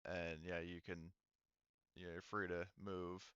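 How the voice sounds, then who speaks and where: A man running a tabletop game, narrating off the cuff in a halting, conversational way. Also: sits low, level tone, a bit bright, clear, resonant tone, dry recording, muffled recording. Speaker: game master.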